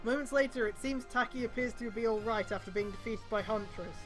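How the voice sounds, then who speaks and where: A woman's voice: fairly high pitch, brisk, music in the background. A woman reading fan fiction aloud on a stream, breaking off with exasperated mocking comments. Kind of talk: live dramatic read-aloud with mocking commentary.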